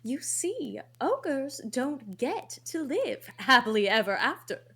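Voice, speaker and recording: A woman's voice: high voice, very animated, slow, fairly bright tone, slightly rough, full character voice. A woman playing a haughty fairy godmother in a comic table read, with a theatrical, mockingly sweet delivery. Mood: mocking, exasperated. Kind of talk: comic table read of an animated film script.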